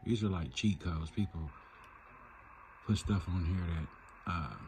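Very low-voiced man, casual walkthrough. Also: takes it slowly, noisy background. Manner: informal, thinking aloud. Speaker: video creator demonstrating shortwave radio listening. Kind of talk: casual off-the-cuff screen tutorial. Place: indoors at a laptop. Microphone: handheld phone behind the camera.

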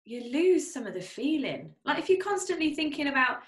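A woman's voice: high voice, big pitch swings, a little fast. A young woman hosting a podcast, chatty, with many ums and asks for agreement. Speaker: podcast host. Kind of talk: casual interview chat. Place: small home studio. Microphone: studio condenser mic. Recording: roomy echo.

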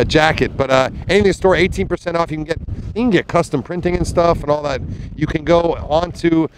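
A man's voice: fairly high pitch, slight background noise, poor audio. A man in his thirties giving chatty, enthusiastic live commentary on a sailing race from a small motorboat, speaking into a handheld mic with a windscreen. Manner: chatty, enthusiastic, many fillers and restarts. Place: small motorboat on open water, outdoors. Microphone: handheld mic with fuzzy windscreen.

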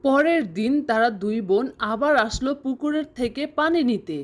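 A woman's voice: high-pitched, expressive, moderate pace. A woman giving scripted narration for a fairy-tale story.

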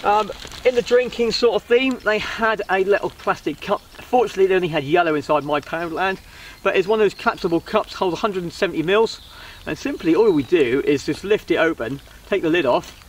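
A man with a high-pitched voice talks through gear in an informal, hesitant vlog style. He rambles as he goes, recorded outdoors at some distance from the camera, with clear background noise. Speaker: vlogger reviewing budget camping gear. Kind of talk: casual product review to camera. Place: outdoors on a wooden riverside deck. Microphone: camera a couple of metres away.

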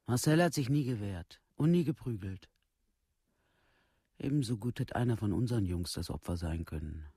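An actor playing a worried, worn-down village parent in a radio play, in plain, halting colloquial speech. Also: male voice, on the low side, lively intonation, dark tone, husky, no echo, studio quality. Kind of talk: documentary radio play monologue.

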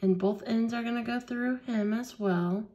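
A woman leading a hands-on beading tutorial at a craft table, explaining each step slowly and patiently. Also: on the high side, dark tone, dull audio. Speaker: craft instructor.

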